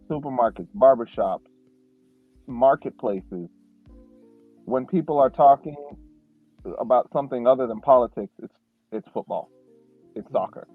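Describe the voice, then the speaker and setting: A male podcast co-host telling stories in a relaxed, reflective way, with many fillers and restarts. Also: mid-range voice, unhurried, pauses often, dry recording, faint music behind. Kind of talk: casual podcast chat. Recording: tinny, phone-like dry sound.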